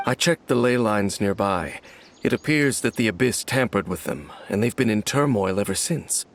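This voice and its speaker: An actor playing a grave, composed knightly guide in a video game, measured and solemn as he explains. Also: fairly low pitch, animated. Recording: faint ambient noise behind.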